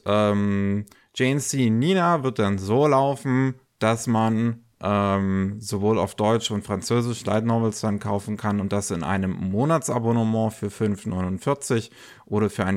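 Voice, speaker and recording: Low-voiced man with a cold. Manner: relaxed, many fillers and restarts. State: has a cold. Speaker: podcast host. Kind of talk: casual news chat.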